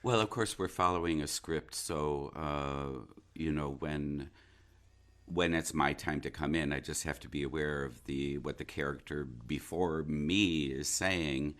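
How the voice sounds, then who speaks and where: Very deep-voiced man, thoughtful and unhurried. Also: fairly bright tone. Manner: thoughtful, halting, searching for words. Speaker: interview guest, stage actor. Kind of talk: reflective interview conversation.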